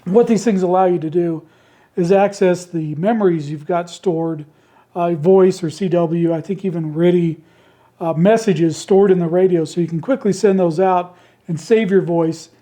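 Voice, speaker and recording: Man, hoarse worn voice, high-pitched, casual rambling talk, indoor radio room. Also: moderate pace, mellow. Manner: easygoing and rambling, homespun phrasing. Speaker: ham radio channel host selling handmade keypads. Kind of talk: casual product talk to camera. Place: indoor radio shack with computer behind.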